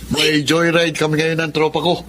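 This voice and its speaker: A man's voice: fairly high pitch, completely flat delivery, moderate pace, a bit bright, slight background noise, close to an everyday voice. A man playing an impatient, evasive young brother in a Filipino drama, offhand in his delivery. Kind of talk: Filipino radio-style drama acting.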